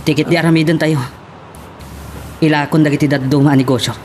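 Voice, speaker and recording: A woman's voice: sits low, fairly even intonation, fast, darkish tone, soft background music, lightly acted. An actress with an Ilocano accent playing an exasperated daughter pleading with her mother in a radio drama. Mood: pleading, firm.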